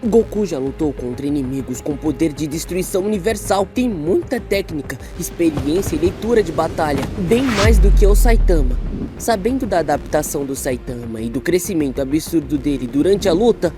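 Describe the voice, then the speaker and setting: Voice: medium pitch, lively intonation, normal speed, lightly acted. An actor voicing an earnest, nerdy teenage boy anime hero in an analytical parody debate. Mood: earnest analysis. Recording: music behind the voice.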